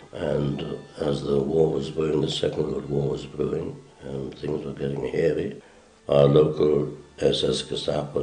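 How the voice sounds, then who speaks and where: A man's voice: extremely low pitch, gravelly, darkish tone, echoey room. An older man from Slovakia, a Holocaust survivor, telling his story in a wry, plainspoken documentary testimony.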